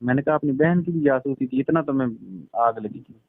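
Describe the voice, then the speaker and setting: A man with a Pakistani accent chats casually and keeps probing with questions. His pitch is average for a man. The sound is a thin, dry phone line with a narrow band. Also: a little fast, no room sound. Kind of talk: casual private phone chat. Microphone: telephone.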